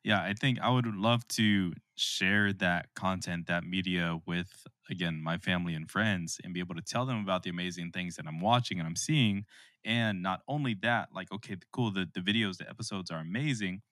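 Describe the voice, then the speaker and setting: A man with a low-pitched voice hosts a casual interview. His sound is dry and close, and he talks in an agreeable, conversational way. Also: normal speed, a bit bright, no room sound. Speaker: podcast host, interviewer.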